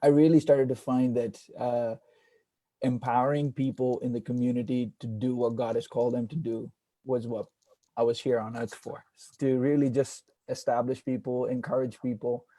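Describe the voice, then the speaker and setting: A man from India speaks as a pastor on a video call, earnest and reflective with many soft fillers. His voice is mellow and dark, with little rise and fall in pitch. The sound is dry, with no room echo. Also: level tone, normal speed. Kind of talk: panel introduction on a video call.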